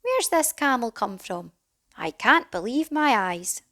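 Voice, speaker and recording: A woman's voice: fairly high pitch, big pitch swings, measured pace, fairly bright tone, full character voice. A woman voicing a male film star, astonished but friendly, in a children's storybook. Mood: amazed.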